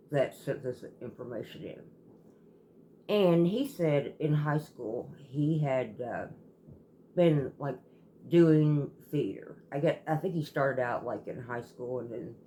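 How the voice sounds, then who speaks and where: A woman telling a story casually as a host, in a halting, rambling way that often circles back and repeats. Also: rumbling low, mellow, slight background noise. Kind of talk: casual storytelling, retelling a viewer's account.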